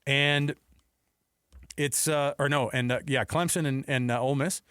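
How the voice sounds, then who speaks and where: A man's voice: medium pitch, steady tone, moderate pace, fairly bright tone, smooth, dry recording, studio quality. A man hosting a sports radio show in casual talk, often acting out imagined quotes.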